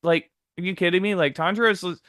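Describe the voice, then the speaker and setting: A man's voice: high voice, fairly even intonation, fast talker, a bit bright, no room sound, clean studio sound. A man co-hosting a podcast in casual chat, talking with enthusiastic emphasis. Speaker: podcast co-host.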